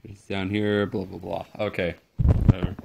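A man's voice: sits low, level tone, moderate pace, gravelly, darkish tone, a little hiss or hum. A young man casually commenting over a game, rambling and joking, mumbling through on-screen text. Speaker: gameplay video maker.

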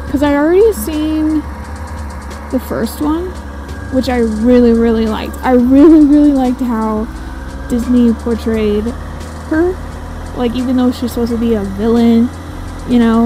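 Chatty young woman riding a motorcycle. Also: fairly high pitch. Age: 20s. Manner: chatty, giggly, rambling. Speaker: motovlogger. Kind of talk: casual chat while riding. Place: outdoors on a moving motorcycle. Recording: wind and engine noise.

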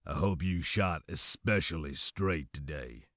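A man voicing a serious, wary stormtrooper who speaks slowly and firmly in an audiobook. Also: a bass voice, bright, forceful tone, no room sound, clean studio sound, pitched below the performer's own voice, full character voice. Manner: slow, firm, serious. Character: grave, wary male stormtrooper, guarded and cautioning.

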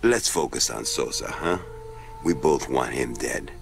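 Super deep croaky drug lord, shrewd and sure of himself, video game cutscene. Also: male voice, expressive, a little slow, noisy background, full character voice. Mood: confident, smooth. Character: shrewd, self-assured island drug boss, smooth and menacing.

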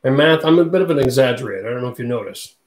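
A man's voice: mid-range voice, expressive, very fast. Chatty man on a livestream, roomy echo. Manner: chatty, jokey, reads out viewer comments. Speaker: channel host. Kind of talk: casual livestream chat with viewers. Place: home studio room. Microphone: studio mic on boom arm.

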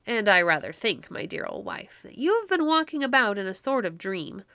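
A woman's voice: mid-range voice, sing-song, moderate pace, sharp tone, clean tone, no echo. A woman voicing a kindly, humble old husband in old-fashioned storybook speech. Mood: amused, doubting.

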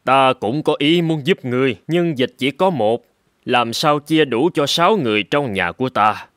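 An actor playing a dignified, kindly older district chief with stately authority in an animated folk tale. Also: male voice, medium pitch, normal speed, dry recording, lightly acted. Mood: genial, testing.

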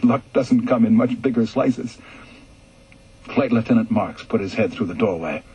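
A male storyteller reading a scripted first-person radio story in a measured, earnest way. His voice is very husky, at a middling pitch for a man. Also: lively intonation, warm tone, muffled recording.

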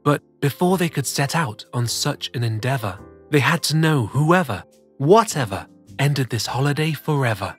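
A man narrating a children's story as host, reading rhymes in a theatrical, deliberate way. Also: medium pitch, breathy edge. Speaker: storyteller host of a children's read-aloud show. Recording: soft music bed behind the voice. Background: soft music.

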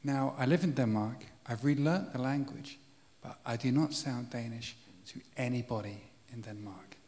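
A man's voice: medium pitch, moderate pace, a little mellow. A man asking an earnest, slightly hesitant question from the audience. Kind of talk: conference Q&A. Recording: echoey lecture hall.

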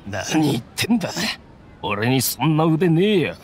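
A man playing an earnest, resigned mercenary with a rough, plain-spoken manner in a Japanese anime game. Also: a little high, very animated, moderate pace, slight background noise. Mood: flustered.

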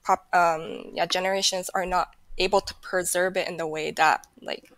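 A woman's voice: on the low side, steady tone, moderate pace, fairly bright tone, dry recording. A woman whose first language is Japanese, talking casually as a guest on a video call, rambling with many fillers. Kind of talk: casual chat.

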